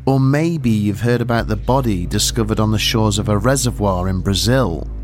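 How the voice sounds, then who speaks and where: A man narrating a scripted horror storytelling trailer in an ominous, deliberate, dramatic manner. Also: on the low side, soft background music. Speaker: podcast narrator.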